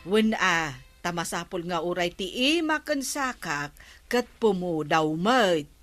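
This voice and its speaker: A woman's voice: lowish voice, very animated, takes it slowly, a bit bright, soft background music, heavily acted. An actress playing a vain village woman obsessed with whitening her skin in a radio comedy drama, with an Ilocano accent. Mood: unbothered, insistent.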